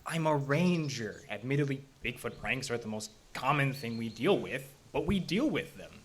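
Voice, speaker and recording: An actor playing an affable, mildly skeptical park ranger in a live audio drama, easygoing and a little hesitant. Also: male voice, mid-range voice, animated, moderate pace, fairly bright tone, slightly rough. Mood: matter-of-fact.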